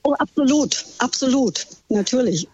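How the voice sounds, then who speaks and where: A woman's voice: high-pitched, lively intonation, moderate pace, a little mellow, breathy edge, dry recording, dull audio. A German woman tour guide calling in live from a ship, talking in a friendly way with many hesitant fillers. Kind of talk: phone-in chat. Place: on a ship at sea.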